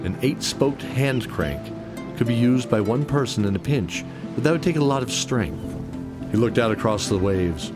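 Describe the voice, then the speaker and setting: A man's voice: mid-range voice, animated, moderate pace. A man giving scripted narration of a fantasy adventure story in an audio drama. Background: music.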